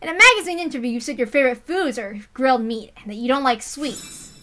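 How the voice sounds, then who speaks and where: A woman's voice: sits high, expressive, quick pace, pushed and bright, faint music behind, pitched below the performer's own voice. A woman voicing a scheming, teasing boy who makes grandiose, insistent speeches in a visual novel reading. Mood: taunting. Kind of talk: animated visual novel read-aloud.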